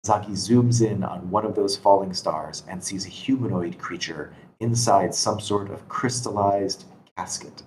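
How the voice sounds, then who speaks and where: A man's voice: on the low side, a little slow, hardly any pauses, darkish tone, poor audio. A man reading scripted stage directions as narrator at a screenplay table read. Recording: constant background noise.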